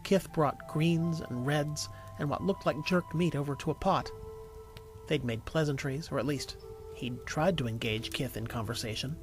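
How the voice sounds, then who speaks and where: Higher-voiced male storyteller over music. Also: moderate pace. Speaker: audiobook narrator and voice actor. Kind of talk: scripted story narration. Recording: music behind the voice.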